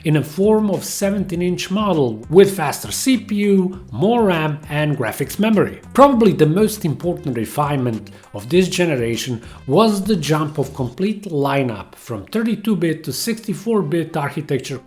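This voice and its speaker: A man's voice: a little high, lively intonation, normal speed, few pauses, a little mellow, faint music behind. A man hosting a tech channel, narrating a scripted history of laptops in English with a non-native accent.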